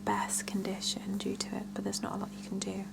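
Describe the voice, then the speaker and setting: A woman whispering softly in an ASMR roleplay as a salon scalp therapist, chatting and explaining gently and without hurry. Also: medium pitch, completely flat delivery, fairly quick, noisy background. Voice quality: soft breathy whisper with creaky edges.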